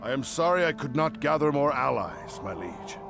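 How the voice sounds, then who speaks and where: A gruff, loyal fighter, with a gravelly voice that moves up and down. He is terse and sombre in a fantasy game scene, with music behind. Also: medium pitch, very animated, moderate pace. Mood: regretful.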